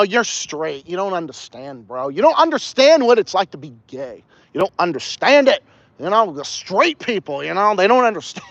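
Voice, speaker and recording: A man's voice: high voice, no echo, highs cut off. A young man with a slight Texas Southern accent hosting a casual outdoor livestream, rambling and swearing a lot while he walks around. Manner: animated, profane, rambling, talking while walking. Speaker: podcast host.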